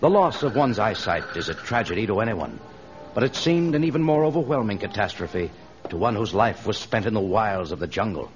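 Male narrator, low voice, dramatic sweeping intonation, grave, music behind, dull vintage radio recording. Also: big pitch swings, fairly quick. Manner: grave, theatrical. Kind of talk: dramatic scripted narration, old-time radio.

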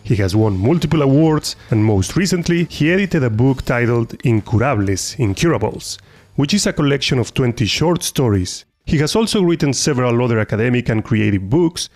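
A man's voice: medium pitch, lively intonation, a little fast, darkish tone. A man hosting a podcast, reading a formal introduction and asking interview questions in a polite way. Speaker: podcast host.

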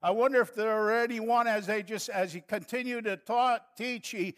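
A man's voice: high voice, steady tone, normal speed, fairly bright tone. An older man from northern Wisconsin with an Upper Midwest accent, preaching a folksy, chatty sermon that builds to fervent passages. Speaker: pastor.

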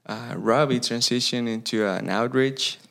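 A man's voice: medium pitch, measured pace, vocal fry, fairly bright tone. A man from Costa Rica with a Spanish accent, a campus pastor telling his story in a casual interview, who speaks earnestly and restarts phrases as he searches for English words. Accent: Costa Rican Spanish.

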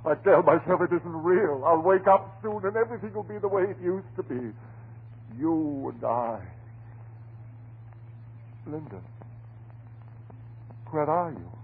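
A desperate, unhinged husband pleads in old horror radio style. His delivery is halting and slow, leaping widely in pitch with melodramatic devotion. The sound is a thin, noisy vintage broadcast. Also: expressive, phone-quality sound. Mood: despairing. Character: frantic, devoted, unhinged husband guarding his transformed beloved.

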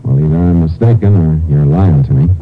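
An actor playing a laconic, folksy Texas Ranger detective in an old-time radio crime drama, speaking matter-of-factly. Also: male voice, a bass voice, brisk, phone-quality sound. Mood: terse, suspicious. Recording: band-limited vintage radio recording with music.

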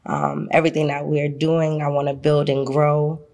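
A woman's voice: low-pitched, normal speed. A woman with a heavy Boston accent, a guest talking casually and laughing often, with plenty of ums. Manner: lively, laughing, many ums. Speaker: guest, actress. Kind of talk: casual interview chat.

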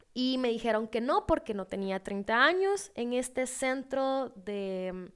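Smooth, higher-voiced young Guatemalan woman. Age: 20s. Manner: chatty, playful, acts out dialogue in anecdotes. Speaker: podcast host. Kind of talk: casual podcast storytelling.

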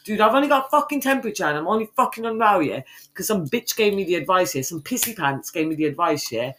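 A British woman with a stuffy, congested voice trades crude, swear-filled banter with a friend. Her pitch swings widely from line to line as she teases and shouts. Her delivery stays loud and playful. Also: expressive, fast. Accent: British English. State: congested with a cold. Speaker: podcast co-host.

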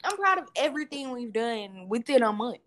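A playful woman co-hosting a casual podcast. Her voice sits a little high, and she laughs often through slangy, easygoing talk. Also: big pitch swings, fairly quick, a bit bright. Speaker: podcast co-host. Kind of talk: casual chat.

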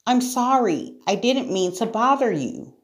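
A woman puts on a polite little girl from a storybook, timid yet plucky. Her voice sits well up high and moves in lively swoops as she pleads and apologises. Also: a little slow. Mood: timid, apologetic. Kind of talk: children's storybook character voice.

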